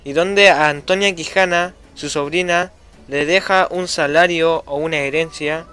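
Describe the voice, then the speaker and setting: A man's voice: a little high, a bit bright. A man narrating a scripted summary of a story. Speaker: narrator of a student book summary.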